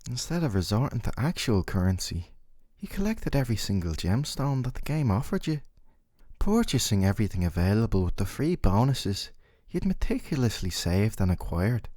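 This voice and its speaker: Male actor as doting, possessive game boyfriend for ASMR roleplay; soft, dark timbre, very lively intonation. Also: lowish voice, moderate pace, no room sound, studio quality. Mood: possessive, coaxing. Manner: soft, coaxing, articulate, teasing tag questions. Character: possessive, gentle yandere dating-sim boyfriend, doting and smug. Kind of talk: scripted ASMR roleplay monologue to the listener.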